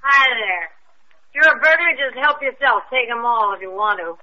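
Sardonic, tipsy young wife for radio drama: high-pitched, intensely bright, slangy and rambling. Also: female voice, expressive, normal speed. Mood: teasing. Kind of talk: old-time radio acting. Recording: muffled old radio recording.

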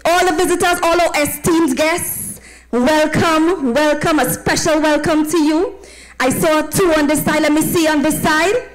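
Trini lady preacher, high voice, talking to her congregation on a handheld mic, asks for amens. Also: fairly even intonation, measured pace, soft background music, low-quality recording. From Trinidad and Tobago. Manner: call-and-response, asking listeners to affirm. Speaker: evangelist, guest preacher. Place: tented church platform with draped backdrop. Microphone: handheld microphone.

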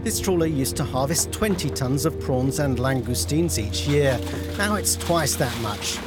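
A man's voice: medium pitch, animated, a little slow, loud music behind the voice. A man reading scripted narration as a news documentary reporter.

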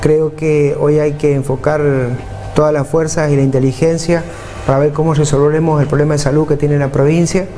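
A man's voice: fairly high pitch, flat delivery, a little fast, warm tone, faint music behind. A man in his forties with an Argentine Spanish accent, answering a TV interview as a mayor running for office, earnest and repeating points for emphasis. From San Juan, Argentina. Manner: earnest, repetitive for emphasis, speaks with his hands. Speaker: mayor and vice-governor candidate. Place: TV studio, seated at a table.

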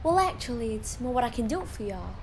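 High-voiced young Southern lady reporter. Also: big pitch swings, a little fast, slight background noise. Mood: polite, coy. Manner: polite, genteel. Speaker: actor. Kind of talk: audio play dialogue.

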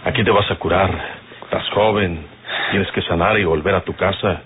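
Deep-voiced Mexican bandit boss, played by a man. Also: fairly even intonation, moderate pace, close to an everyday voice. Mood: reassuring. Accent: northern Mexican. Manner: folksy rural speech, commanding. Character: aging rural bandit boss, firm and protective. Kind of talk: old radio serial acting. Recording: muffled, noisy old radio serial recording.

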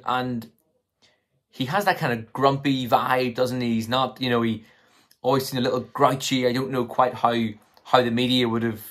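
A man in his twenties with a slightly hoarse voice, talking casually and tentatively to camera as he thinks through his commentary. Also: mid-range voice, a bit bright. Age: 20s. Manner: tentative, hedging, thinking aloud. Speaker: political commentary vlogger. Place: small room at home, indoors.

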